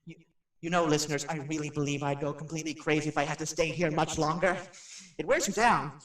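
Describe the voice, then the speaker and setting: A man's voice: fairly high pitch, flat delivery, fast talker, a bit bright, natural voice. An actor playing a nervous, chatty radio personality who rambles and stammers his way through a haunted house in a radio drama. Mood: mounting dread. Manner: jittery, stammering, rambling, self-interrupting. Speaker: radio host. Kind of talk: radio drama acting, rambling live monologue. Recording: roomy, distant microphone.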